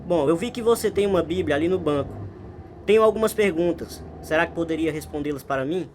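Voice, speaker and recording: A man's voice: a little high, fast, a little hiss or hum, close to an everyday voice. A man acting as a humble, troubled hitchhiker in a radio drama, polite and earnest. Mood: polite, curious. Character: humble, troubled male hitchhiker seeking salvation.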